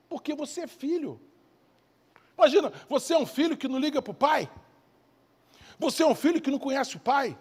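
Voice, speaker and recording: A man with a Rio de Janeiro Brazilian accent and a husky voice preaches an evangelical sermon emphatically, repeating key phrases and asking rhetorical questions. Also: extremely high pitch. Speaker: preacher, bishop. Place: church service in a large hall. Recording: reverberant hall sound.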